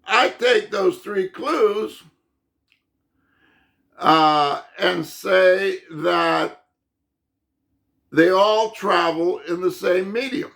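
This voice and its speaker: A man hosting his own channel, laying out his physics theory in a halting, unscripted monologue with many restarts and repeated "okay?" checks, his voice going hoarse. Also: high-pitched, a bit bright. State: losing his voice.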